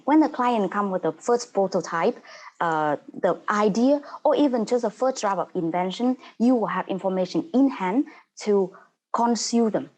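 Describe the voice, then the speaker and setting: A woman in her thirties from Vietnam with a Vietnamese accent, a non-native English speaker, giving an earnest, halting webinar talk as a paralegal over a video call. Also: mid-range voice, a little mellow. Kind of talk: online webinar presentation.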